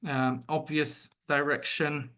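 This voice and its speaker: A young man with a Polish accent, a native Polish speaker, giving an online academic seminar talk with many pauses, fillers and repeated words. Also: a little high, level tone, bright, forceful tone. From Poland.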